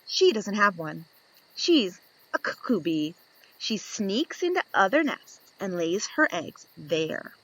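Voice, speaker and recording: A woman reads a children's storybook aloud at a gentle, slow pace. Her pitch moves up and down a great deal. She jumps up into higher voices whenever a question comes up. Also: rough recording. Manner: slow, lively reading with high voices for the questions. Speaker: storybook reader. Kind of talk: reading a children's picture book aloud.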